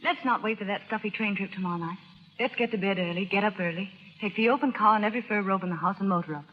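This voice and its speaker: A woman's voice: medium pitch, fast, natural voice. An actress playing a devoted, nervous society wife in 1930s radio drama style, eager and faltering as she pleads. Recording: dull, muffled old radio broadcast.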